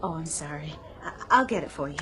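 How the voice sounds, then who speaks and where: A woman's voice: low voice, expressive, quick pace, bright, forceful tone. An actress playing a polite, flustered, earnest young woman shopper in a radio drama, speaking hesitantly. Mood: apologetic, wistful.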